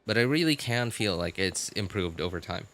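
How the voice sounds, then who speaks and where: A woman chatting casually on a gaming livestream, in a halting, rambling way that often repeats and rephrases. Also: a bass voice, lively intonation, fairly bright tone, soft background music. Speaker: video game livestreamer.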